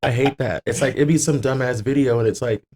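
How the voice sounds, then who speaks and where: A man's voice: mid-range voice, fairly quick, a little mellow, slightly rough, faint music behind. A man as a podcast guest, chatting casually and bluntly, swearing and acting out what people say. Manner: blunt, sweary, acts out quoted remarks.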